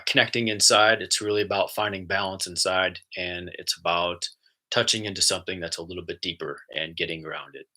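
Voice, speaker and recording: A man talking casually as a spiritual host, chatty and easygoing, then slow and soft when he guides a relaxation exercise. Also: sits low. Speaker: spiritual host and intuitive channeler.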